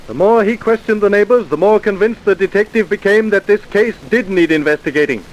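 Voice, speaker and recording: A man's voice: high voice, fairly quick, close to an everyday voice, rough recording. A man playing an earnest narrator, reading a scripted vintage radio crime story. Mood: earnest, dramatic. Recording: hissy old radio recording.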